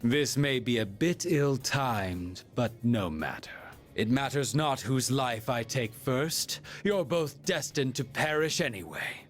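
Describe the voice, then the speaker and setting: An actor playing a coldly courteous game villain with formal, archaic, taunting speech who preaches like a false prophet. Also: male voice, mid-range voice, expressive, takes it slowly. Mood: coldly mocking. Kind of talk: English video game voice acting. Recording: music under the voice.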